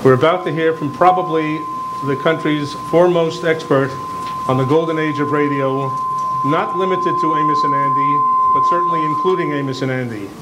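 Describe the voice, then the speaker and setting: A man's voice: on the high side, a little fast. A man acting as event host, giving a formal introduction of a guest speaker. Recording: noisy live event room recording.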